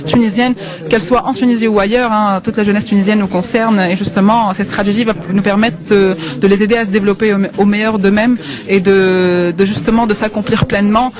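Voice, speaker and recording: A woman's voice: mid-range voice, steady tone, brisk, a crowd in the background. A woman answering a radio interview as an official guest, formal and hesitant with many fillers.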